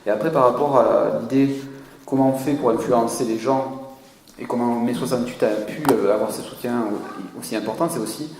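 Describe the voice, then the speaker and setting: A man talks through an audience question, halting and repeating himself as he searches for the right word. His voice rings out in a large, reverberant hall with a faint hum of the room behind him. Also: medium pitch, fairly even intonation. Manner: hesitant, searching for words. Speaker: conference speaker. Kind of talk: unscripted conference answer. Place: conference hall.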